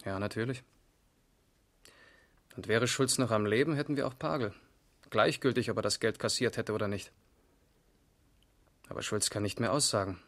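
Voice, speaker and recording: A man's voice: on the low side, normal speed, pauses often, fairly bright tone, close to an everyday voice. An actor playing a matter-of-fact, precise male police investigator in a radio crime drama. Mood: sober, reporting. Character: sober, methodical male East German police investigator. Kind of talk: 1970s German radio crime drama acting.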